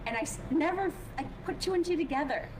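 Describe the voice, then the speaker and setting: A chatty woman guest from Poland, a native Polish speaker, talks in a high, bright register. She keeps adding "right?" and "you know" as she talks. The recording has clear background noise running under her voice. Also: expressive, fast. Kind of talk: casual interview chat.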